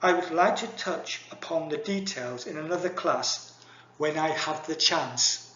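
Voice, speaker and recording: A man giving a measured, formal university lecture read from a script. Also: fairly high pitch, a bit bright, a little hiss or hum. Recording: echoey dull lo-fi recording.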